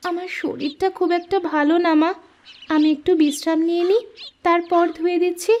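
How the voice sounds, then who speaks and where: A woman's voice: extremely high pitch, steady tone, fairly quick, darkish tone. A woman voicing a meek, dutiful young stepdaughter in a cartoon, submissive and placating. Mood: meek, pleading. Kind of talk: Bengali cartoon voice acting.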